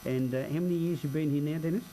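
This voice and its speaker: An Australian man hosting a radio news show, interviewing in a conversational but formally phrased, halting way. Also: on the high side, dark tone, slight background noise, rough recording. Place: radio studio.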